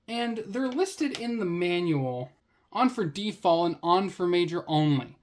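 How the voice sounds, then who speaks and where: A woman's voice: on the low side, lively intonation, measured pace, darkish tone, slight background noise. A woman reviewing a gadget in a casual unboxing commentary, with a sarcastic, wry way of talking.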